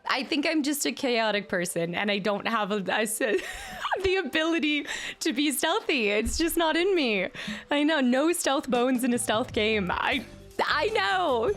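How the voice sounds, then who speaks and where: Chatty young woman streamer, lively. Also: high-pitched, measured pace, soft background music. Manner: animated, chatty, reads chat names aloud. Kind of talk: casual chat with live chat.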